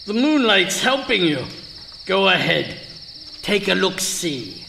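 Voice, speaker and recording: A man's voice: high voice, sing-song, slow pace, vocal fry, a little hiss or hum, exaggerated voice. An actor playing a wry, bossy male grave thief in a theatrical audio drama, commanding and sardonic. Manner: commanding, hushed urgency, sardonic. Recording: night insect ambience behind.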